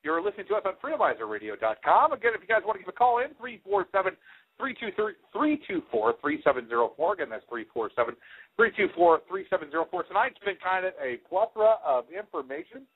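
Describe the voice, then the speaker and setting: A man's voice: sits high, big pitch swings, fast talker, breathy edge. A man in his forties hosting a radio show, casually rambling through announcements with frequent hesitations on a headset mic. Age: 40s. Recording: phone-like, band-limited internet radio sound.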